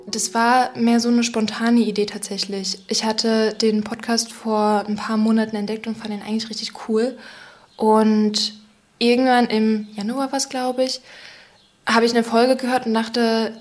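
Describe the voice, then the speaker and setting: A woman in her twenties from near Leipzig, telling her story as a podcast guest in a relaxed, hesitant way with frequent fillers. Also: fairly high pitch, measured pace. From eastern Germany, near Leipzig. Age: 20s. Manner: relaxed, searching for words with frequent "ähm" fillers. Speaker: interview guest. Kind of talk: casual personal conversation.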